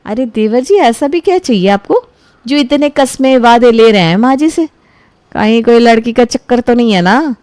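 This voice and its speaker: A woman's voice: on the high side, expressive, normal speed, a little mellow, dry recording, natural voice. Woman playing a teasing, inquisitive young sister-in-law. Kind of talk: animated storybook dialogue.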